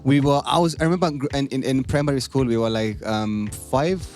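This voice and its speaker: A man's voice: mid-range voice, a little fast, darkish tone, soft background music. A young man, a stand-up comedian guest, telling stories in a laid-back, slangy way full of "yo" and "man". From Kenya. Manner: laid-back slangy storytelling with lots of "yo" and "man", many restarts. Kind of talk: casual storytelling chat.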